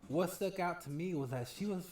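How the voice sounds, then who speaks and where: A man's voice: high voice, brisk, darkish tone, echoey room, slight background noise. A man with a lisp, a stand-up comic chatting as a podcast guest, rambling and profane and often laughing. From California. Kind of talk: casual podcast chat.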